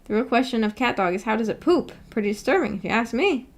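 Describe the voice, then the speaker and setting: A woman's voice: on the high side, animated, fast. A woman hosting a livestream, chatting casually and reading out viewer comments in a giggly, chatty way. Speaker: streamer and host.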